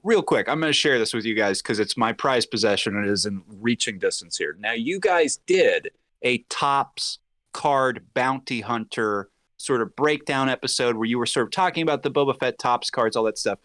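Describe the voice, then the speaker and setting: A man's voice: medium pitch, moderate pace, no echo. A man in his thirties chatting casually and emphatically as a podcast guest, swearing freely. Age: 30s. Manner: animated, emphatic, profane. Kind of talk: casual chat.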